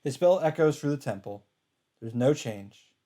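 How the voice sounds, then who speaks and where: A man's voice: medium pitch, lively intonation, normal speed, mellow. A man reading a visual novel aloud as a let's player, in dramatic narration. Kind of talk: dramatic read-aloud narration with casual asides. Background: game music.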